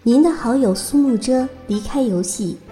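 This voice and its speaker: A high, very mellow female system voice acted by a woman over music. It delivers polite, formulaic game notifications slowly in an audio drama. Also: lightly acted. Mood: neutral. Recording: music behind the voice.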